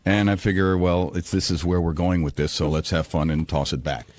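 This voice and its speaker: A man chatting casually as a radio show regular, halting and circling back to rephrase himself. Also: low voice, flat delivery, a little mellow. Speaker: radio show cast member.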